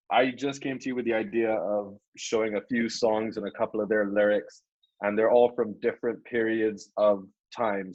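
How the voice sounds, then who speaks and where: A man's voice: on the low side, darkish tone, no room sound. A young man, a podcast guest talking earnestly over a lo-fi call, often repeating words as he thinks. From Caribbean. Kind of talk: casual podcast discussion.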